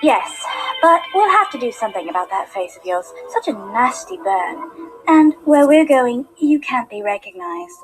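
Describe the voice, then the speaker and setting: A woman performs a cruel mastermind professor in an audio drama. Her high voice glides up and down with commanding mock sympathy, while faint music sits behind it. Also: big pitch swings, moderate pace, rough recording. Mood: coolly commanding. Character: gleefully cruel female pony criminal mastermind professor. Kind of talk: audio drama villain acting.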